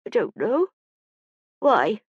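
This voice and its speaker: A woman's voice: a little high, huge pitch swings, slow pace, slightly rough, no room sound, dull audio, pitched above the performer's own voice, heavily acted. A woman voicing a frightened, polite young lad in an audiobook, with a small, shaky, pleading voice. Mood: bewildered.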